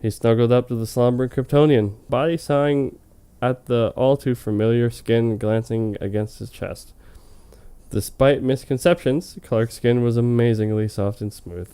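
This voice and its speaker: Smooth, mellow man reading mock-dramatically. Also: mid-range voice, steady tone, moderate pace. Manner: hammy, amused, breaking off to comment. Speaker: reader of fan fiction on a comedy web show. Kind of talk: mock-dramatic reading with casual joking asides.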